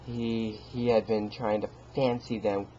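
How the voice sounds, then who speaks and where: A man voicing a manic madman in a gothic horror story, halting and stammering as he repeats words and swings between hushed and agitated speech. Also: fairly low pitch, flat delivery, natural voice. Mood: hushed, brooding. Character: manic, stammering madman gleefully confessing his stalking of an old man. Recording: dull lo-fi home recording, faint noise.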